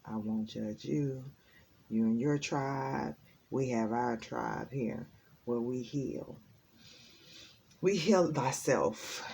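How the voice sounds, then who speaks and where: Slow, gravelly woman reading tarot. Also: very deep voice, darkish tone, slight background noise. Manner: rambling and earnest, many ums. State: raspy just-woken voice. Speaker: tarot reader. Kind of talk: casual spiritual tarot reading.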